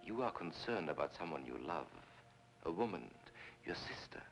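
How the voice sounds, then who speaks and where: Low-voiced suave fake psychic. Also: male voice, animated, music in the background. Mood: mystical, intense. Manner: slow, theatrical, coaxing with dramatic pauses. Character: suave fake male psychic, smooth and seductive. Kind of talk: 1940s film noir acting.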